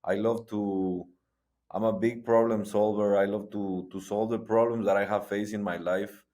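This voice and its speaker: Middle-aged man, somewhat low voice, stop-start guest answers. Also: steady tone, warm tone. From Guatemala. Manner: halting, frequent restarts and repetitions. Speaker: guest, sports entrepreneur. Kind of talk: conversational interview.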